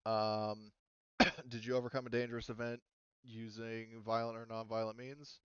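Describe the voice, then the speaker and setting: A man with a smooth, resonant voice runs a tabletop roleplaying game. He talks casually as game master. The sound is dry and a little muffled. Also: mid-range voice, little pitch movement, moderate pace, a bit bright, no echo. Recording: slightly dull, dry close microphone.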